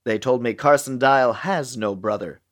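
A man's voice: medium pitch, normal speed. A man playing a grave, concerned government official, heard through a telephone filter in a radio drama. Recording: thin, tinny telephone line.

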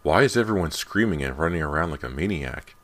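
A man's voice: a bass voice, lively intonation, fast. A man voicing an irritable, melodramatic young girl in a storybook reading, in a complaining, dramatic way.

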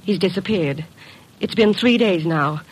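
Husky, worried young secretary. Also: female voice, on the low side, unhurried, rough recording. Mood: worried, hesitant. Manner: hesitant, polite, anxious. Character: worried, frightened young female secretary client, polite and hesitant. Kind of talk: 1950s radio drama acting.

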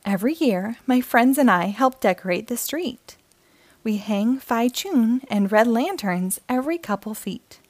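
A woman hosting a storytime show, reading a children's picture book aloud in an expressive, measured way. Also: a little high, no echo.

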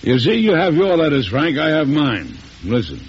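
A man's voice: fairly high pitch, big pitch swings, moderate pace. An older man playing an aging, stern and wry American president in an old-time radio drama, formal and commanding. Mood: wry, measured. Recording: hissy, muffled vintage radio recording.

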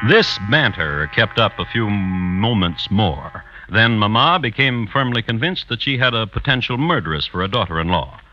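A man's voice: fairly low pitch, sing-song, normal speed, few pauses. A man narrating a scripted 1950s radio drama in a dry, wry manner. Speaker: host and narrator. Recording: dull vintage radio recording with faint music.

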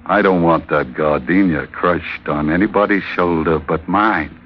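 A man's voice: low-pitched, unhurried, over loud music. A man playing a sly, smug henchman with slangy, insinuating talk in an old radio crime drama. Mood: sly, confident. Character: Red Gallagher, sly smug hardboiled male henchman. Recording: muffled old radio recording.

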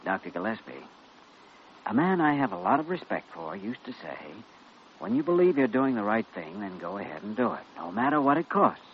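A man acting an earnest, principled young doctor in an old-time radio drama, measured, firm and sincere. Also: fairly low pitch, big pitch swings. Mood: firm, courteous. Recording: muffled, noisy vintage radio recording.

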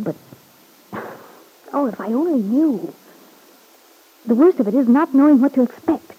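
An actress playing a scheming, anxious young wife in an old radio serial. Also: female voice, medium pitch, dark tone, slightly rough, low-quality recording. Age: young adult.

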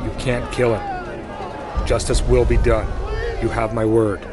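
An actor playing an earnest, noble male superhero in an audio drama, speaking in a measured, steady way. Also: medium pitch, over music, crowd noise, close to an everyday voice. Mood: firm, commanding.

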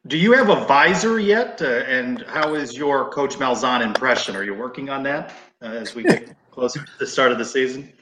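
A man's voice: medium pitch, animated, moderate pace, very noisy. A man with an American accent hosting a sports talk show in a conversational style. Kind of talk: conversational hosting, asking questions. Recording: echoey room sound.

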